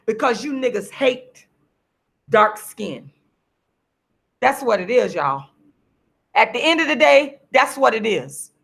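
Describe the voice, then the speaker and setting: A woman in her forties, losing her voice, delivering a heated, repetitive, preachy rant straight to the camera while she sits signing books, sometimes breaking into shouts. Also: on the high side, expressive, takes it slowly, vocal fry, long pauses. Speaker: vlogger and activist. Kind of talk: impassioned direct-to-camera rant. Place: seated at home signing books.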